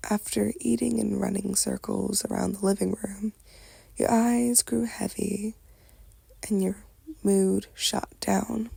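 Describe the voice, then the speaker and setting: Breathy, crackly woman whispering a story. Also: medium pitch, warm tone, no echo. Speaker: ASMR roleplay narrator. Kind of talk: soft whispered ASMR story narration.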